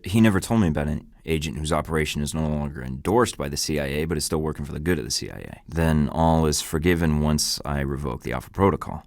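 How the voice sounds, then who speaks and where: A man's voice: very deep voice, no echo. An actor playing a young male field agent with a dry, sarcastic manner, as a video game voice. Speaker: game protagonist, spy.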